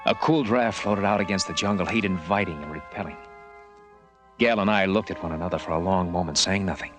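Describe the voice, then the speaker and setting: A low-pitched, brash adventurer from an old radio serial, played by a male actor. He talks tough and breezy in slang, cajoling and determined. Music runs under the vintage recording. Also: a little fast, lightly acted. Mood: tense narration. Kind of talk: 1940s-50s radio adventure acting, with first-person narration.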